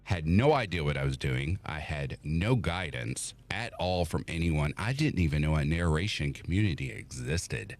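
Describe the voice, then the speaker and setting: A man with a voice he calls made for radio, a podcast guest telling his story in an animated way and acting out what others said. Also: rumbling low, normal speed, few pauses. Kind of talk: casual chat on a podcast.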